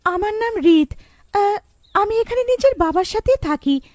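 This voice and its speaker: A woman's voice: very high voice, lively intonation, normal speed, darkish tone, no room sound, clean studio sound. A woman voicing a timid, sheltered teenage girl in a children's cartoon, speaking earnestly and pleadingly. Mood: meek, earnest.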